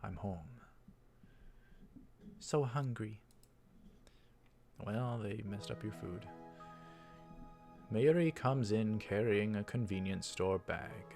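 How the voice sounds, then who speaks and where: Man, lowish voice, let's play narration, reading dialogue with tags, casual asides, soft game music behind. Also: slow pace, pauses often. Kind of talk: reading visual novel lines aloud with casual commentary.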